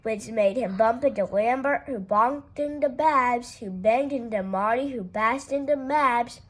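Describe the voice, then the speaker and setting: Slow, expressive woman reading a story. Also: a little high. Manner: unhurried, expressive read-aloud with playful character voices. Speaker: storytime reader. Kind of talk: reading a rhyming picture book aloud.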